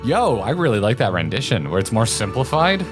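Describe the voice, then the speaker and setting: A man's voice: mid-range voice, sing-song, brisk. A man co-hosting a casual gaming reaction show, talking in an enthusiastic, slangy way. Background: music behind the voice.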